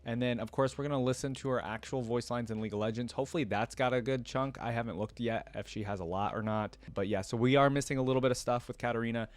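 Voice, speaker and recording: A man's voice: medium pitch, fast talker, few pauses. A man giving casual, off-the-cuff reaction commentary as a video host.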